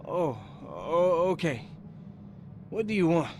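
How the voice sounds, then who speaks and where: An actor playing a dazed, anxious working-class fisherman in a video game, speaking in halting, groggy, clipped phrases. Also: on the high side, very animated, a little mellow, a little hiss or hum, exaggerated voice. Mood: dazed, alarmed. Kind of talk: dramatic video game voice acting.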